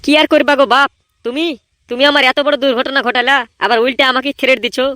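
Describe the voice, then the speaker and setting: A woman's voice: high-pitched, fairly even intonation, quick pace, pushed and bright, dry recording, studio quality. A woman voicing a beleaguered, self-pitying young male gang leader in a comedy cartoon, aggrieved and scolding. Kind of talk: cartoon voice acting.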